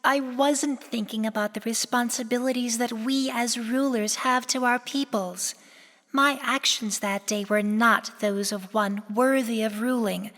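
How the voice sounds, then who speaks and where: A woman plays a lonely, remorseful princess of the night, a pony ruler in a fan radio drama. She speaks slowly and solemnly in a slightly high voice, with formal, emotional phrasing. Long hall reverb surrounds her. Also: fairly even intonation, a bit bright. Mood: solemn regret.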